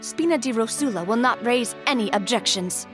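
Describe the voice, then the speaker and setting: A woman's voice: fairly high pitch, lively intonation, brisk, music in the background, natural voice. A woman playing a young lady boss in a video game, poised, earnest and resolute. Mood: firm, resolute.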